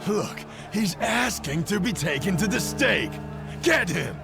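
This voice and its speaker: A man's voice: high-pitched, expressive, a little slow, creaky, over music, full character voice. An actor playing a hostile, jeering man from a lynch mob in a video game. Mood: jeering, aggressive. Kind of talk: dramatic video game acting.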